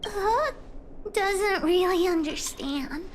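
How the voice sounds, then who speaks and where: A woman's voice: very high voice, slow pace, noisy background. A woman voicing an earnest, sensitive little girl with a childlike way of talking in a video game. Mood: puzzled. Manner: childlike, speaks of herself in third person. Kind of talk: English video game dub acting.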